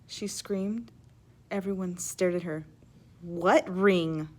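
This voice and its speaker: A woman reading a children's story aloud in an expressive, teacherly way, pausing to explain words and ask questions. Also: on the low side. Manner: animated, emphatic reading with hushed asides.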